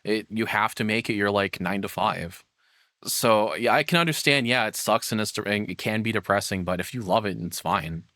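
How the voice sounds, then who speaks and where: A man's voice: lowish voice, brisk, sharp tone, no echo, studio quality. A man giving casual reaction commentary as a content creator, rambling and frank, with casual swearing.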